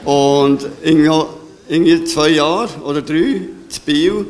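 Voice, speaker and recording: A man with a Swiss German accent leading a church service, interviewing a guest in a chatty, halting way and praying. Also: on the high side, a little mellow. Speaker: pastor.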